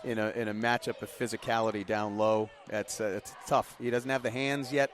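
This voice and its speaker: A man's voice: lowish voice, flat delivery, a little fast. A man giving live color commentary on a basketball broadcast, breaking down plays in a conversational, colloquial way. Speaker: color analyst. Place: indoor basketball arena. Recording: live arena broadcast with game noise.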